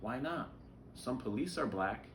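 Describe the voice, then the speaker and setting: A man's voice: medium pitch, lively intonation, a little slow, a bit bright, distant mic. A curious, earnest young storybook boy read by a man speaks in a direct, childlike way. The room echoes noticeably and there is some noise in the background. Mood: questioning.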